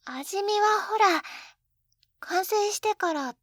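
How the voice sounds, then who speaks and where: A woman's voice: very high-pitched, lively intonation, takes it slowly, fairly bright tone, no room sound, studio quality, exaggerated voice. A woman playing an eager, clumsy, sweetly pouty young wife in an intimate binaural roleplay, with a cutesy, flustered delivery. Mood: defensive, pouty. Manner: cutesy, flustered, pouting, hesitant little gasps. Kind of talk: Japanese situation-voice roleplay, intimate ASMR audio drama. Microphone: close binaural 3Dio microphone.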